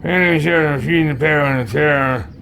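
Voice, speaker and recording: A man's voice: a little high, moderate pace, creaky, fairly bright tone, slight background noise. A man streaming a video game, musing and reading a guide aloud in a theatrical let's play style. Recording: game music behind the voice. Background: video game music.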